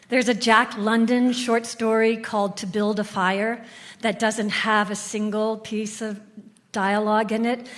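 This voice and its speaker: A woman talking animatedly and emphatically as a theatre guest in an interview on stage. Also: mid-range voice. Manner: enthusiastic, emphatic. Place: on stage before a live audience.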